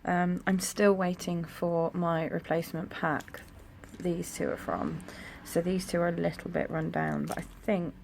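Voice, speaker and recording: A young woman with a croaky voice, chatting casually at home while decorating her planner. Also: fairly low pitch, fairly even intonation, unhurried, few pauses. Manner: chatty, rambling, soft-spoken. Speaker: planner vlogger. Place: home room near a busy road. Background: traffic noise.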